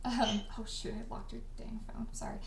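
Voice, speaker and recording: A woman co-hosting a casual livestream chat, speaking in an informal, animated way. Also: a little high, moderate pace, vocal fry. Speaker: host, audio drama creator and dialogue editor. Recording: echoey room.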